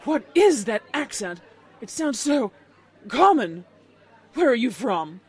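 A woman's voice: on the high side, sing-song, slow, slightly rough, a faint crowd, full character voice. A woman voicing a cruel, snobbish upper-class female unicorn who sneers with haughty contempt in a cartoon-style story. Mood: disdainful.

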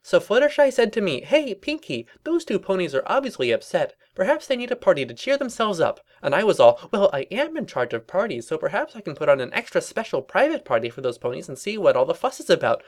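A performer voicing a bubbly, excitable young female cartoon pony with gushing, exaggerated chatter in a story reading. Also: mid-range voice, fast talker, few pauses, voice pushed up, close to an everyday voice. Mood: bubbly, cheerful.

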